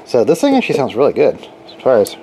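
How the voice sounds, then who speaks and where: Guy casually thinking out loud in his garage workshop, average pitch, lots of static and hum behind. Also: wildly swooping pitch, fast. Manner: rambling, informal. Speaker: radio repair hobbyist reviewing gear. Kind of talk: casual hands-on demonstration, thinking aloud. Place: cluttered garage workshop with open door. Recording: noisy workshop with radio hiss and hum. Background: radio static and hum, ambient noise.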